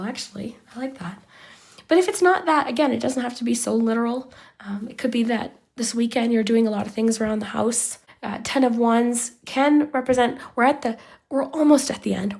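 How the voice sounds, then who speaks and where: Hoarse woman reading tarot cards. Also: on the high side, fairly quick, a little mellow. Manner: chatty, talks straight to viewers, drops to a whisper at times. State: losing her voice, hoarse from illness. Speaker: tarot reader. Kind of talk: pick-a-card tarot reading to camera.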